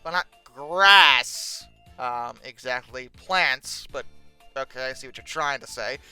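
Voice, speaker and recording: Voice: mid-range voice, slow pace, pushed and bright, clean tone, dry recording, soft background music. A host giving casual comedic commentary in an exasperated, sarcastic and incredulous manner. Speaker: host reacting to old book text and pictures. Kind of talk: casual comedic commentary with read-aloud passages.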